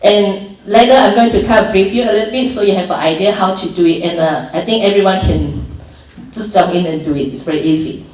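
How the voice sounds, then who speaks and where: A woman with a Malaysian accent walks volunteers through a training session in an informal, step-by-step way, often restarting her sentences and filling pauses. She sounds set back from the microphone, with the echo of a roomy hall around her. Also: medium pitch, darkish tone. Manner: explains steps plainly, many restarts and fillers. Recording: echoey room from far off.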